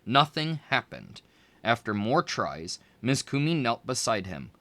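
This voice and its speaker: Slow, lively storybook reader, a man. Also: medium pitch. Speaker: read-aloud narrator. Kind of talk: storybook read-aloud.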